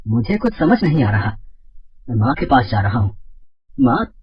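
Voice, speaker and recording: A woman's voice: a bass voice, big pitch swings, normal speed, breathy edge, voice pushed down, exaggerated voice. A woman voicing an earnest working man, a son and husband in a cartoon story, on dull sound. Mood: bewildered.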